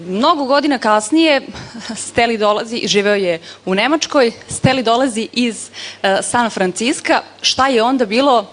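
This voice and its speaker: A woman's voice: on the high side, a bit bright. A woman introducing a speaker on stage as the event host.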